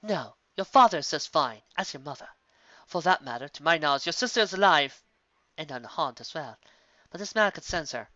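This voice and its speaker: Guy doing a grave old pony princess, formal and measured, lifted a bit higher, bright dry lo-fi sound. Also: animated, dry recording. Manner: formal, measured royal diction. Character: regal ancient female alicorn princess, formal.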